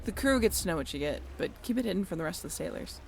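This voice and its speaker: A woman's voice: lowish voice, animated, very fast, gravelly, a little mellow, a little hiss or hum. A woman playing a friendly, easygoing ship's crew member, chatting informally in a roleplay audio drama. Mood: friendly, amused.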